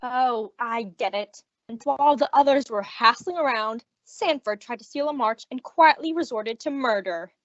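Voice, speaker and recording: A woman's voice: on the high side, moderate pace, hardly any pauses, close to an everyday voice. A woman playing a blunt police inspector. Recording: lo-fi recording.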